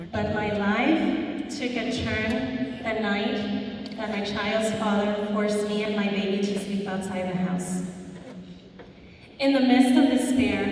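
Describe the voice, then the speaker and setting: A woman from Mexico, giving an earnest personal motivational speech at a school in a slow storytelling manner with emphatic pauses. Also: medium pitch, a little hiss or hum. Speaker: guest speaker at a school. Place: large school hall. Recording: echoey hall, voice from far off.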